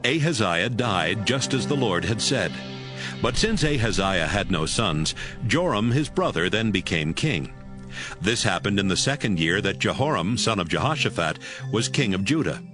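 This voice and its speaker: Animated low-voiced male Bible narrator. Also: normal speed, over music. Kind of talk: scripted dramatized Bible narration.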